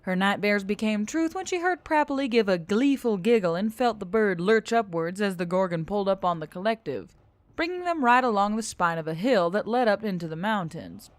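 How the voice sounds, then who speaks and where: Female audiobook narrator: mid-range, highly animated storytelling read for scripted fiction. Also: fairly quick, no echo. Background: music.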